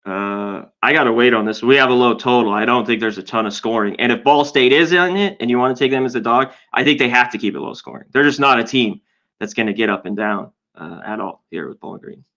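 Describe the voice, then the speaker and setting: A man co-hosting a sports betting show from home, speaking in halting, hesitant phrases into a desk mic. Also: medium pitch, bright, forceful tone. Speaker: sports betting podcast co-host. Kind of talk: casual sports betting analysis. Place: home room, indoors. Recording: slightly dull, lo-fi mic.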